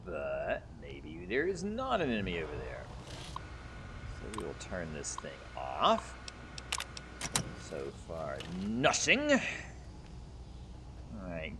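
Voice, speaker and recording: A man's voice: medium pitch, fairly bright tone, a little hiss or hum. A man giving casual gameplay commentary as host of a gaming video, musing aloud as he plays. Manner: muses aloud while playing.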